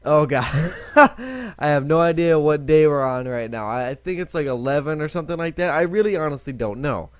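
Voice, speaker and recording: Chatty, rambling young man, lively pitch. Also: normal speed, no echo, studio quality. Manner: rambling, chatty, self-correcting. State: voice lower than usual today. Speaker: gaming video host. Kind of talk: casual let's-play commentary.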